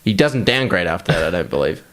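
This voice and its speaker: A man's voice: low voice, sing-song, quick pace, fairly bright tone. A man co-hosting a podcast in casual, blokey, sweary chat. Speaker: podcast co-host.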